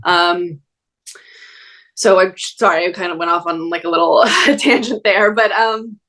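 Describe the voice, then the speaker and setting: A woman with a lowish voice in a casual interview. She answers thoughtfully and hesitantly, often pausing with ums as she works through an idea. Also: steady tone, a bit bright. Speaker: actress.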